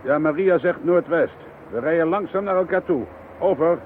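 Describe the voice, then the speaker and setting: An actor playing a headstrong, insistent chief police inspector in a radio drama. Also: male voice, on the high side, moderate pace, close to an everyday voice. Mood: brisk, determined. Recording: tinny, band-limited, hissy radio play recording.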